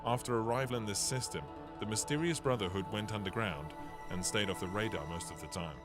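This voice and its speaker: A man voicing a young male ship captain who narrates a game's story in an earnest, steady way, with game music behind. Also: fairly low pitch, a little fast, natural voice.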